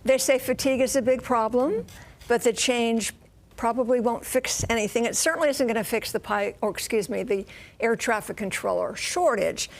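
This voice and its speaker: A woman's voice: on the high side, normal speed, vocal fry. American woman correspondent, informal and explanatory. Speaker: transportation correspondent. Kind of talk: live news explainer.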